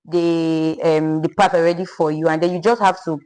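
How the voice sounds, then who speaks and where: A young woman from Nigeria, a polite podcast guest who says ma'am and has a naturally loud voice. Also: sits low, level tone, moderate pace. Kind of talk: podcast interview.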